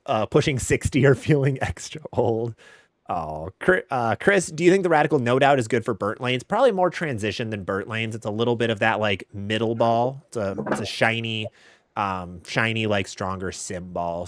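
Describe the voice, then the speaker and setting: A young man hosts a relaxed live stream. His voice sits low and sounds dry and close to the mic. He is upbeat, quick with asides, and laughs easily. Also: no echo. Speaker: host. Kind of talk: casual chat, reading live questions.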